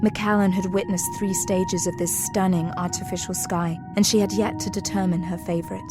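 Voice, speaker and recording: Woman narrating an audio drama, measured, medium pitch, clear music underneath. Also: flat delivery.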